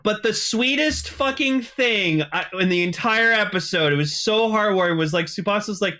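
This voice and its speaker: A male podcast host with a high, bright voice. He chats casually and eagerly, rambling through his recap with plenty of filler "likes". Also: moderate pace. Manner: enthusiastic, rambling, peppered with "like". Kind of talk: casual recap chat about a TV show.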